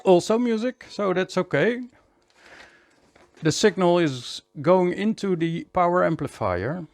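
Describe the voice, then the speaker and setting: A man giving a hands-on electronics repair tutorial in a measured, step-by-step way, often repeating short phrases. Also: sits high, animated, a little mellow.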